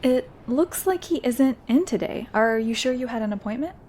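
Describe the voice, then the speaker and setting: A woman's voice: fairly high pitch, expressive, moderate pace, a little mellow, a little hiss or hum, natural voice. A woman acting as a polite, chatty office receptionist in an audio drama. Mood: polite, curious.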